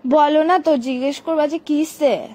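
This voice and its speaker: A woman's voice: sits high, steady tone, moderate pace, mellow. A young woman with a Bangladeshi Bengali accent, scolding and insistent in a casual phone quarrel. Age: young adult. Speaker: girlfriend in a phone argument.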